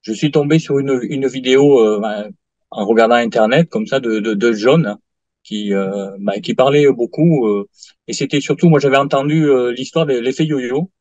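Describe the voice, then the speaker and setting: A man's voice: medium pitch, darkish tone, dry recording. Late-50s guy telling his story, stops and restarts a lot, dull dry lo-fi audio. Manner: halting, many fillers and restarts. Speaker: client giving a testimonial. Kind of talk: hesitant interview answers.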